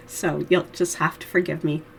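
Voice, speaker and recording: A woman with a hoarse voice from a cold, teaching knitting in a chatty, friendly how-to tutorial, with little laughs. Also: low voice, a little hiss or hum.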